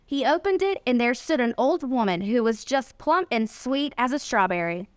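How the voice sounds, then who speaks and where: Female storybook reader, high-pitched, expressive delivery for children, close dry sound. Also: normal speed, clear, resonant tone, dry recording. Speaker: volunteer reader, nonprofit executive. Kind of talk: read-aloud of a children's storybook.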